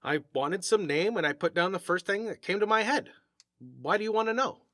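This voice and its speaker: A man's voice: sits high, lively intonation, moderate pace, bright, forceful tone, no echo, studio quality. A man voicing a big, jovial, blunt working-class stonemason with a chatty, colloquial manner in a read-aloud short story. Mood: proud.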